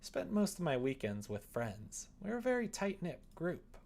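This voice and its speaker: Man putting on a high-voiced easygoing young woman. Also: big pitch swings, a little slow, creaky, a little mellow, exaggerated voice. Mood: casual, sheepish. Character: easygoing young woman senpai, aimless but kind.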